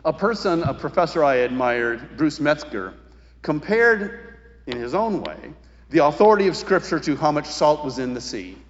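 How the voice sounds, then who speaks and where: A man preaching a sermon as a pastor, speaking in a reflective, deliberate way with emphatic pauses. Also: a little high, sing-song, slight background noise. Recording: reverberant hall, slightly dull sound.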